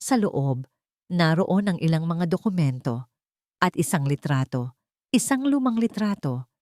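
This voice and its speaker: A Filipino woman narrates a romantic drama in a slightly low voice. The sound is dry and close, with very little room in it. Her delivery is that of a scripted story told for listeners. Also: lively intonation, a little slow, a little mellow, no echo.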